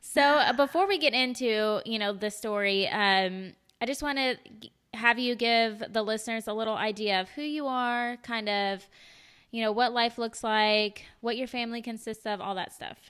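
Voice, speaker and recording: Young woman host in her twenties, upbeat and chatty, smooth clear voice. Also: a little high, normal speed, fairly bright tone. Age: 20s. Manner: bubbly, enthusiastic, lots of fillers. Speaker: podcast host. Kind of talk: casual podcast interview.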